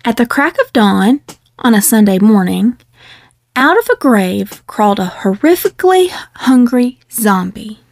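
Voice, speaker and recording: A woman reading a picture book aloud in a measured, expressive storytelling delivery. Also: a little high, vocal fry, no room sound. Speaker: children's book reader. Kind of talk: scripted storybook read-aloud.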